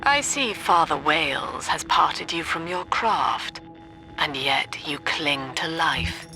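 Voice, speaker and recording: Cold, low, slow villainess. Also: female voice, expressive, pushed and bright, soft background music, heavily acted, rough recording. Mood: icy, mocking. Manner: measured, formal, contemptuous. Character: cold, imperious female doctor villain, middle-aged, calculating.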